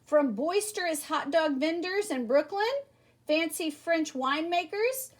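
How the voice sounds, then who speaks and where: High-pitched, animated woman reading a storybook. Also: unhurried, a little hiss or hum. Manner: animated, emphatic, addresses young listeners directly. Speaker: storybook reader. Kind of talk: expressive children's read-aloud.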